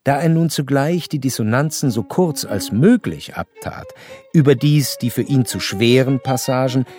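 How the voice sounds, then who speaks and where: Man playing educated radio play narrator, measured n wry, precise wording, violin music behind him. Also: medium pitch, expressive, darkish tone, natural voice. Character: educated, curious male narrator, observant and wryly detached.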